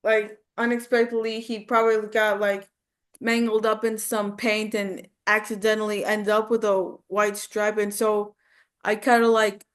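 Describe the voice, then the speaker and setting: A woman's voice: medium pitch, flat delivery, unhurried. A woman chatting casually as a student in a voice acting lesson.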